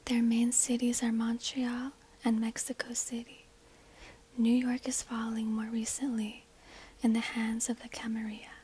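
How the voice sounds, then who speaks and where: A woman whispering breathily in a hushed, deliberate ASMR narration, close to a binaural microphone. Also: fairly high pitch, completely flat delivery, husky. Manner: slow, deliberate, hushed delivery. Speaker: ASMR video host and shopkeeper.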